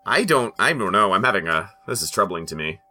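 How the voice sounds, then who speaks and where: Male co-host, very bright and forceful mid-range voice, animated, trading wry casual comedic banter. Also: fairly low pitch, brisk, faint music behind. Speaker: podcast co-host.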